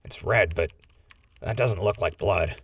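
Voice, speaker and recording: A man acting a laid-back, curious sidekick in a post-apocalyptic audio drama, with husky, slangy, easygoing speech. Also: low-pitched, measured pace, a bit bright, exaggerated voice. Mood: curious, hesitant.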